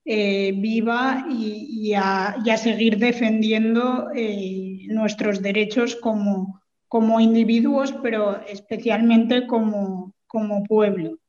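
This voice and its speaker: Young female interview guest. Slow, halting, reflective delivery, fairly flat melody, dull lo-fi sound. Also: mid-range voice. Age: young adult. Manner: hesitant, pausing and repeating words. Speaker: interview guest, jurist and political scientist. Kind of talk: thoughtful spoken interview answer.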